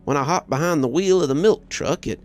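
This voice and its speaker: A man reading a scripted first-person story aloud as narrator, in a measured, reflective way. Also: a little high, darkish tone, soft background music.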